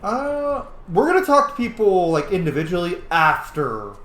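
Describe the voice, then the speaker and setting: A young man in casual game commentary, animated and quick to swear, his voice slightly raised in pitch and very lively. The sound is roomy, with faint noise in the background. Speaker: roleplay game streamer.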